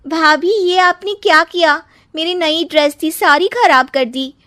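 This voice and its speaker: A woman's voice: very high voice, measured pace, sharp tone, no room sound, studio quality, pitched above the performer's own voice, heavily acted. A woman voicing a pert, complaining young sister-in-law with a whiny manner in a cartoon story.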